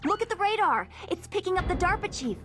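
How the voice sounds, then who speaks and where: Very high, urgent young operator. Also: female voice, fast, full character voice. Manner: excited, emphatic. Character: bright, urgent young female radio support operator. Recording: music underneath.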